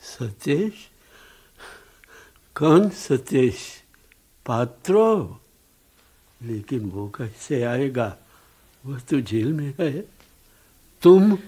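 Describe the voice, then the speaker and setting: An actor playing a frail, breathless old revolutionary in a radio drama, affectionate and mournful, speaking haltingly. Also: male voice, mid-range voice, very animated, warm tone, slightly rough, exaggerated voice. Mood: weary, searching. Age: older. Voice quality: breathless, weary. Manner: halting, drawn-out speech with rhetorical questions.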